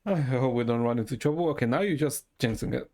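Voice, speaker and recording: A man's voice: mid-range voice, quick pace, dark tone. Man casually reading game dialogue aloud. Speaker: game streamer. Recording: game music faintly behind.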